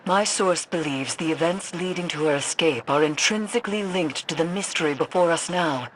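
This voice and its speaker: Woman acting a grave mystic seer lady from a video game, ominous and deliberate, faint noise behind. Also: deep voice, lightly acted, poor audio. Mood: grave, cryptic. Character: grave, cryptic female seer of dark magic.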